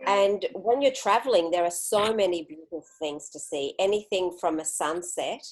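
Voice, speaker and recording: Australian-accented woman guest, lowish voice, friendly and reflective. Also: moderate pace, low-quality recording. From Greece. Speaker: guest, solo traveler. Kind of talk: casual interview chat.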